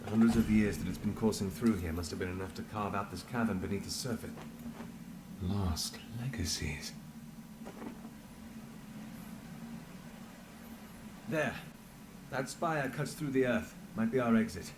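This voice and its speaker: A man's voice: lowish voice, normal speed, background noise, lightly acted. A man playing an earnest, guilt-laden investigator in a fantasy video game, with a theatrical delivery. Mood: pensive. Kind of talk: dramatic video game acting.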